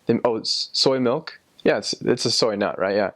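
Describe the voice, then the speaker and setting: A man's voice: mid-range voice, normal speed, a bit bright. A young man telling personal experiences casually, in a rambling, informal way as if thinking aloud. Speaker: former missionary sharing experiences.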